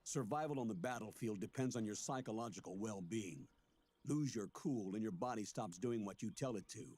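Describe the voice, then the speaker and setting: A male actor playing an earnest older colonel in a video game, explaining things in a measured, authoritative way. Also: fairly low pitch, expressive, dark tone, slightly rough. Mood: measured, guarded.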